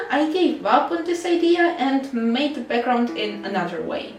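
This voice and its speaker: A woman narrating a scripted vlog in an expressive, playful way. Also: mid-range voice. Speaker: video creator, indie game developer. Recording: roomy echo, distant mic, music behind. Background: instrumental music.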